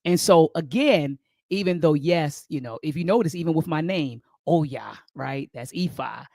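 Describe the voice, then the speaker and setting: A woman's voice: sits low, moderate pace, vocal fry, dark tone, dry recording, studio quality. A middle-aged woman with an American accent, telling personal stories conversationally as an interview guest, emphatic, repeating phrases and checking in with listeners. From Baltimore County, Maryland, United States. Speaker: interview guest, spiritual speaker.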